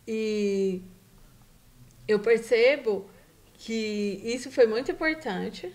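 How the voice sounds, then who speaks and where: A middle-aged woman from Brazil, a guest on a casual live stream, telling stories in a warm, chatty way with lively quoted asides. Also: fairly high pitch, extremely slow.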